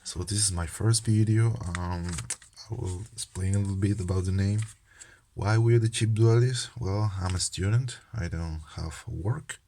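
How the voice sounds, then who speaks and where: A young Peruvian man with a Peruvian Spanish accent talks casually to his channel viewers. His voice sits very low, and he hesitates often, pausing on fillers and restarting his sentences. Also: warm tone, slight background noise. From Peru. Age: young adult. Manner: hesitant, many fillers and restarts, self-deprecating. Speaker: channel host, medical student. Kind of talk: casual card game video talk.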